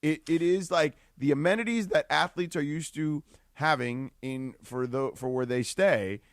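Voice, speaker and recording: A man's voice: a little high, normal speed, dry recording, clean studio sound. A man co-hosting sports talk radio who explains at length, with a lot of restarts and asides. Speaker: radio show co-host. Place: radio studio. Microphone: studio microphone.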